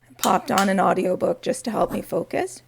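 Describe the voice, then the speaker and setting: Raspy, gravelly woman in quiet vlog. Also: medium pitch, animated, moderate pace, a little hiss or hum. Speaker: crafting vlogger. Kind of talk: quiet studio vlog narration. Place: home craft studio.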